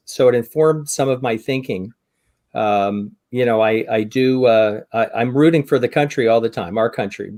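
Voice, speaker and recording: A man in his fifties with an American accent talks in a conversational podcast style. His voice sits at an average male pitch, and he is reflective and wry, measuring out his points with pauses. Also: darkish tone. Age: 50s. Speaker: podcast co-host or guest. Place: indoor room on a video call.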